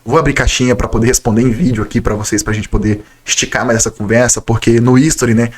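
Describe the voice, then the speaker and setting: A young man with a Brazilian Portuguese accent talks fast and eagerly straight to his viewers in a casual vlog. His voice sits at an ordinary middle pitch and has a slight nasal, stuffy quality from a cold. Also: steady tone. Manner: conversational, enthusiastic, direct address to viewers. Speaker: nutritionist vlogger. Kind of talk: casual talking-to-camera vlog.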